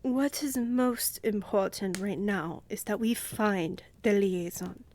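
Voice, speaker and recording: A woman playing a poised vampire countess in a fantasy audio drama, measured and regal, condescendingly affectionate, with a breathy voice that often drops to a whisper. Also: mid-range voice, a little mellow, slight background noise, lightly acted. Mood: composed, gracious. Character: poised, commanding young vampire countess, coolly indulgent.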